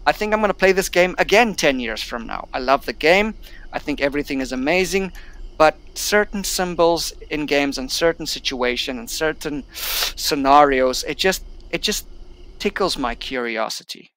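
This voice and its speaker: Bilingual man with a smooth voice. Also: fairly high pitch, lively intonation, normal speed, fairly bright tone, rough recording. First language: not English. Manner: reads on-screen tutorial text aloud, then chats. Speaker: gameplay streamer. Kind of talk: casual let's-play commentary.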